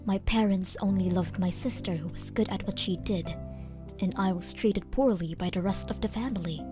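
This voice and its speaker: A woman's voice: mid-range voice, normal speed. A woman giving scripted first-person narration of a story as a voice actor. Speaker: narrator and voice actor in a manga dub. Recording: music bed under the voice. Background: background music.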